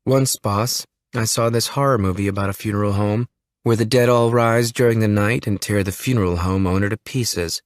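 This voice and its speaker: A man's voice: on the low side, steady tone, normal speed, dry recording, close to an everyday voice. A man voicing a female army special agent with a dry, teasing humor.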